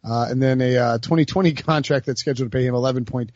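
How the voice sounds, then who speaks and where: A man's voice: medium pitch, fairly even intonation, fast, darkish tone, no room sound, muffled recording. A man hosting a casual sports talk podcast, loose and chatty, with frequent fillers and laughter. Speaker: podcast host.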